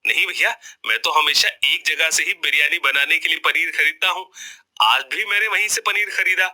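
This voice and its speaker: A man's voice: fairly high pitch, normal speed, sharp tone. A man voicing a greedy, scheming street food vendor in a grumbling, wily way. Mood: defensive. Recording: music bed behind the voice.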